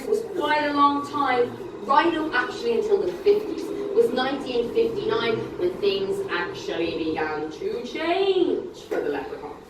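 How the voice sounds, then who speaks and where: Irish lady tour guide, kinda high voice, chatty, keeps asking the group stuff, sounds far off in a really echoey room. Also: slight background noise. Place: indoor museum exhibit.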